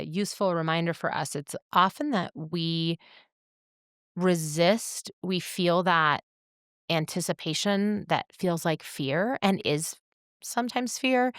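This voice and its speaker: A woman co-hosting a podcast, giving reflective commentary in a measured, thoughtful, coach-like way. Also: fairly low pitch, smooth, dry recording, studio quality.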